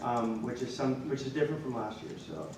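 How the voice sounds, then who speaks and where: A man's voice: mid-range voice, sing-song, a little fast, darkish tone. A young man in student government presenting a nominee in a formal speech, with many ums and restarts. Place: large echoey meeting hall. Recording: echoey hall, far from the mic, noisy.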